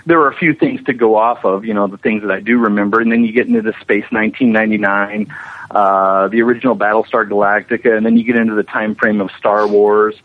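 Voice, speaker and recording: A man's voice: lowish voice, steady tone, fast, no echo. Middle-aged man on a narrow phone-like line. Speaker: interview guest, special effects animator. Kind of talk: casual interview chat. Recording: narrow-band phone or internet call.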